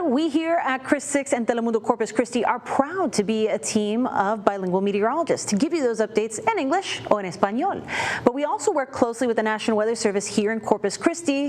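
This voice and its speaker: Woman, TV meteorologist, scripted intro, upbeat, fairly high, very animated pitch, faint hiss.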